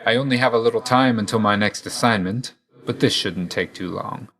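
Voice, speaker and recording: A video game god of death with a low voice and a hard, forceful edge. He is grim and reserved, and he speaks in terse, solemn phrases. A dry loyalty sits under the sternness. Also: a little fast. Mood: reserved, wry. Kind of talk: scripted video game voice lines.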